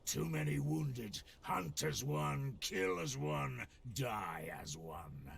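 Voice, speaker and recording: Gravelly, fierce warrior chief. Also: male voice, mid-range voice, very animated, takes it slowly, fairly bright tone, full character voice. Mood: grim. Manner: defiant, declamatory. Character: fierce proud orcish hunter chief, male, battle-hardened. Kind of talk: video game voice acting.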